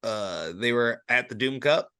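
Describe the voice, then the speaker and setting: A man's voice: medium pitch, expressive, measured pace, sharp tone, smooth, no echo, clean studio sound. A young American man chatting casually as a podcast co-host, in a hesitant, agreeable way. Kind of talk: casual chat.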